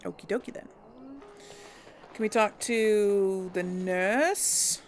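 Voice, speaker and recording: A woman's voice: medium pitch, slow, a little hiss or hum. A woman hosting a casual let's play, chatting and musing aloud as she plays. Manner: chatty, musing, self-doubting. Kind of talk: casual gameplay commentary.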